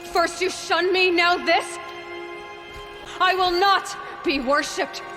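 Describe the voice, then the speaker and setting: Earnest young huntress, music behind. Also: female voice, very high-pitched, slow. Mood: firm, impassioned. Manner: earnest, plain-spoken. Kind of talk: scripted video game voice acting.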